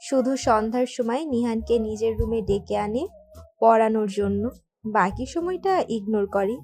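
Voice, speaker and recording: A woman's voice: fairly high pitch, unhurried, darkish tone. A woman reading scripted narration for a romantic story. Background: background music.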